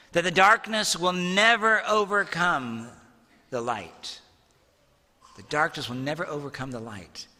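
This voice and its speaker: A man, a priest, giving an earnest, measured homily and asking the congregation rhetorical questions. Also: fairly high pitch, bright, forceful tone. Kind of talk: sermon. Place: church. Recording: long church reverb.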